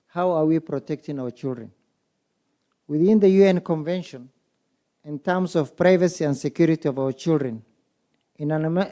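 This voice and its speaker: Mellow-voiced man quizzing a conference crowd. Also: fairly high pitch. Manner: poses rapid rhetorical questions to the audience. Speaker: conference moderator. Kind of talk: public speaking at a symposium. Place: conference hall.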